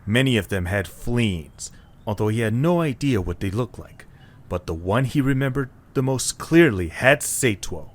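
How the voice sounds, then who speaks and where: A man's voice: medium pitch, expressive, moderate pace. A man reading scripted story narration as the narrator of an audio drama.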